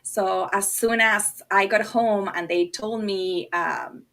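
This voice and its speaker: A woman's voice: medium pitch, steady tone, slow pace, fairly bright tone. A middle-aged woman from Argentina, a native Spanish speaker, telling stories casually and animatedly, with self-deprecating asides and acted-out dialogue. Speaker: podcast guest, designer and producer. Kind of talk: casual conversational storytelling.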